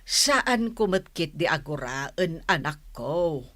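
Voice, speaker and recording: A woman's voice: low voice, lively intonation, takes it slowly, a bit bright, full character voice. A woman playing a huffy older village mother who sells vegetables in an Ilocano radio comedy drama, grumbling and scolding with an Ilocano accent. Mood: annoyed.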